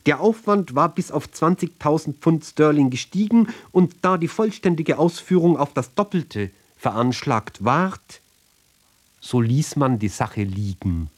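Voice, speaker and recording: A man giving a sober, measured literary reading for radio, phrase by phrase with deliberate pauses. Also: a little high, expressive. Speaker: reader of literary ballads.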